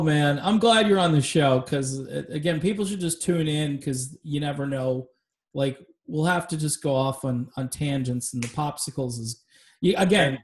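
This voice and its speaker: A man hosting a casual political podcast chat, talking loosely and wandering off on tangents. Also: fairly high pitch. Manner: loose, rambling, tangent-prone. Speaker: podcast host.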